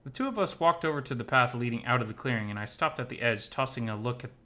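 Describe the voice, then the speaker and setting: Bright-voiced man narrating a story. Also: mid-range voice, fast talker. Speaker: visual novel reader. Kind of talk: expressive first-person story narration. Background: faint music.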